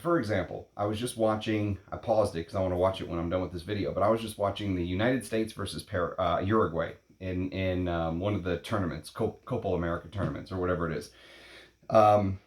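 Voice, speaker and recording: A man in his late thirties hosting a perfume vlog, talking casually in a rambling, opinionated way, blunt and quick to swear. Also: deep voice. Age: 30s. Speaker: perfume channel host. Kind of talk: casual unscripted vlog talk. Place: at home in Texas.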